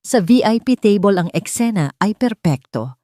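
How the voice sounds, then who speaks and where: A woman's voice: mid-range voice, lively intonation, normal speed, dark tone, no room sound, clean studio sound. A woman with a Filipino accent reading a dramatic story as a scripted narration. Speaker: audiobook narrator.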